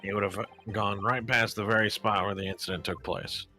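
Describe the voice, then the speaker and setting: A man's voice: on the low side, fairly even intonation, a little fast, pushed and bright, soft background music. A man voicing a brusque, middle-aged male police inspector who talks in an offhand, dismissive way, in a fan dub of a game.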